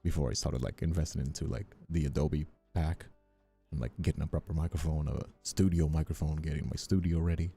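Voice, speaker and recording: A man's voice: rumbling low, fairly even intonation, fairly quick, gravelly, warm tone, husky, faint music behind. A man who streams and voice acts, chatting casually and at some length with viewers. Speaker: streamer and ASMR voice actor. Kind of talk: casual livestream chat answering viewers.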